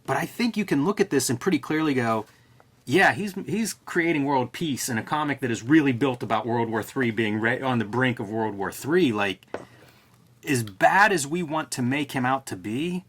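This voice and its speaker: A man's voice: mid-range voice, expressive, normal speed, a bit bright, breathy edge. A man co-hosting a discussion show, chatting casually and thinking through his points in an explanatory, reflective way. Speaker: co-host of a comic book discussion show. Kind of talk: casual analytical chat.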